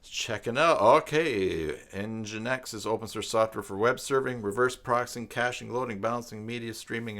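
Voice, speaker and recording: A man hosting an informal screen-share tutorial, thinking aloud and reading out documentation in a halting, self-interrupting way. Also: medium pitch, a bit bright. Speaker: live stream host giving a web tutorial. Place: at a desk by a computer.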